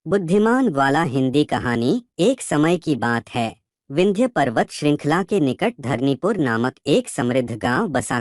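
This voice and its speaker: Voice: medium pitch, dry recording, studio quality. A storyteller narrating a scripted moral tale for children.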